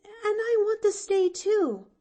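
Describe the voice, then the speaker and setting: A woman's voice: very animated, slow pace, full character voice. A woman reading an audiobook, voicing an eager little girl who stammers and pleads earnestly. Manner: stammering, eager, high and bright.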